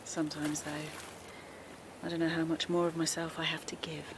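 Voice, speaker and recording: A woman's voice: low voice, little pitch movement, normal speed, noisy background, close to an everyday voice. An actress playing a weary, worried middle-aged mother, confiding and emotionally strained in a naturalistic audio drama. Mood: weary self-doubt. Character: Alice, a worn-out, worried mother of a teenage son.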